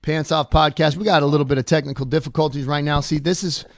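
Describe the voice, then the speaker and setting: A man's voice: fairly high pitch, steady tone, no echo. Jokey man, dry close sound. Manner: enthusiastic, jokey, quick affirmations. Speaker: podcast co-host. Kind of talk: casual chat.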